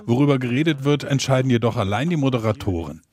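A man's voice: mid-range voice, expressive, fast talker, darkish tone. A man reading a scripted voice-over translation of an interview.

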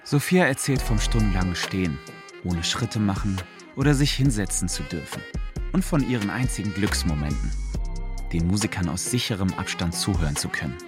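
A man's voice: low-pitched, lively intonation, fairly quick, over music. An actor playing a curious, easygoing young man who chats and narrates about his friend in a radio play. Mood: calm narrating.

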